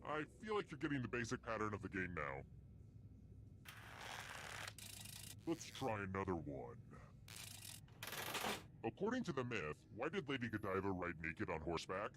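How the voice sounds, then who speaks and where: An actor playing a gleeful, sadistic killer who hosts a deadly trivia video game with theatrical, mock-polite sarcasm. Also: male voice, on the low side, very animated, a little fast, background noise. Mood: cheerful menace. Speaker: game show host villain. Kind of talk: scripted video game host narration.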